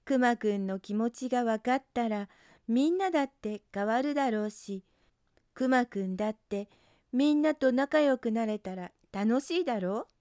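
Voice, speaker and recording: A woman's voice: a little high, lively intonation, normal speed, mellow, no room sound, clean studio sound, lightly acted. A woman voicing a kind, earnest young male rabbit in a storybook, coaxing and emphatic. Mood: earnest, encouraging.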